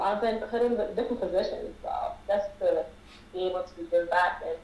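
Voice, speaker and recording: A woman's voice: lowish voice, darkish tone. A woman in her thirties, an upbeat and friendly podcast guest in a casual interview. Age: 30s. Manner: upbeat, friendly, laughs easily. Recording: distant, roomy with faint noise.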